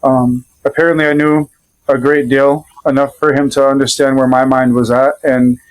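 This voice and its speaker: A man from Rhode Island with a Rhode Island accent, a father and podcast guest, telling a story in an easygoing, loosely rambling way with many pauses. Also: medium pitch, level tone, clean tone, low-quality recording. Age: adult father. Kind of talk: casual conversational storytelling.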